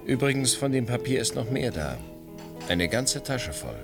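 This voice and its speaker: A man's voice: medium pitch, very animated, normal speed, vocal fry, over music. An actor playing a cocky, suave, ironic male con man in a radio drama. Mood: smooth, ironic. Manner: suave, self-assured, ironic. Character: cocky, smooth male con man chasing big money. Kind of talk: 1980 German radio drama acting.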